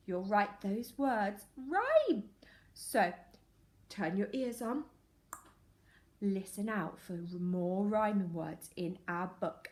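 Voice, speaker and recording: A woman's voice: medium pitch, darkish tone. A woman teacher reading a picture book to young children, telling the story expressively and putting questions directly to her listeners. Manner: questioning, addressing children directly, clearly paced. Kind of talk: animated children's storytelling and lesson. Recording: echoey room.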